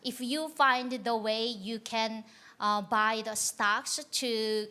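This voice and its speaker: Korean-accented woman guest, non-native English, halting phrasing. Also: fairly high pitch, steady tone, sharp tone, clean tone. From North Korea. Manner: halting, searching for English words. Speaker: guest speaker. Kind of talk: conference Q&A interview.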